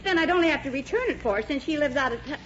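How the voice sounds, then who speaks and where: A fluttery, exclamatory middle-aged American mom in 1940s radio comedy style. Her voice is extremely high and swooping, on a muffled old recording with hiss. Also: animated, very fast. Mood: chatty. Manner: fussy, exclamatory. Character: fluttery, fussy middle-aged suburban mother, easily flustered.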